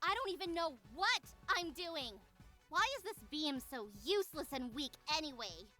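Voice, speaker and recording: A woman's voice: high voice, animated, moderate pace, bright, forceful tone, soft background music. A woman voicing indignant, argumentative magical girl characters in a theatrical visual novel style. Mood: bickering. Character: feisty magical girl bickering with a prim, preachy pixie. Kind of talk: animated visual novel voice acting.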